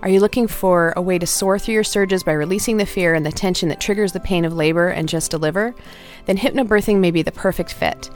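Calm, low-voiced woman with soft music. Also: fast. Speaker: doula and childbirth educator. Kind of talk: scripted show intro.

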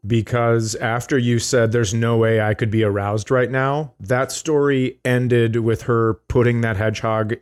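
A man's voice: mid-range voice, fairly even intonation, measured pace, hardly any pauses, clear, resonant tone. A man co-hosting a comedy podcast, chatting casually in a dry, hesitant way.

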